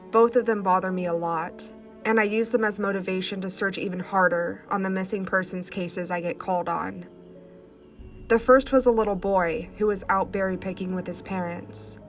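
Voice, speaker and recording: Stuffy-nosed woman reading eerie stories. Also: mid-range voice. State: stuffy nose from sinus infection. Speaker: host. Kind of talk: reading first-person stories aloud.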